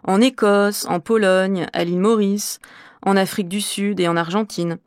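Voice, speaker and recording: A woman's voice: mid-range voice, steady tone, normal speed, no echo, clean studio sound, natural voice. A woman playing a middle-aged French teacher from Normandy, answering interview questions in an articulate, explanatory way for a language course. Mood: composed. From Normandy, France. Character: middle-aged female French teacher, curious and well-travelled.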